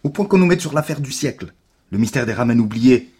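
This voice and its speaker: A man's voice: mid-range voice, animated, fairly quick, a little mellow, slight background noise, close to an everyday voice. A man playing a wry, talkative, reluctant investigator in a naturalistic audio drama, mocking and grumbling. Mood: sarcastic, grumbling. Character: sarcastic, hungry, reluctant male investigator, grumbling sidekick. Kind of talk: naturalistic French audio fiction acting.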